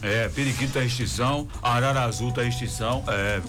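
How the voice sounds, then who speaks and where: A man hosting a radio show, chatting and joking fast in casual banter. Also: medium pitch, music in the background. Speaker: radio host.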